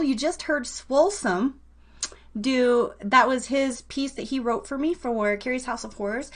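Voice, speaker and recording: High-voiced, chatty woman radio host. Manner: chatty, rambling, self-deprecating; enthusiastic, effusive. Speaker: live internet radio show host. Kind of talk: casual live radio chat.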